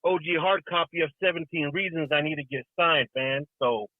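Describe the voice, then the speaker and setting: Brief: friendly male caller, slightly high voice, tinny phone. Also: a little fast, no echo. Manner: friendly and chatty. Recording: dry tinny phone line.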